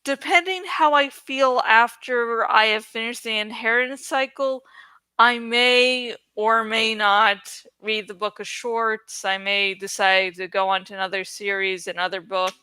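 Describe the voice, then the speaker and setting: Woman, fairly high bright voice, dry close sound, playful rambling vlog chat. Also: fairly even intonation, measured pace, clean tone, no room sound. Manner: rambling, playful, self-deprecating, giggly. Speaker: YouTuber who reads books aloud. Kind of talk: casual, chatty vlog talk to viewers.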